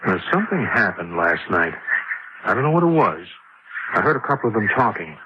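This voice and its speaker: Wary camp worker, very noisy recording. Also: male voice, lowish voice, very animated, a little fast. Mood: uneasy. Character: wary, uneasy man helping run a relief camp. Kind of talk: old-time radio drama acting. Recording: muffled old radio with heavy noise.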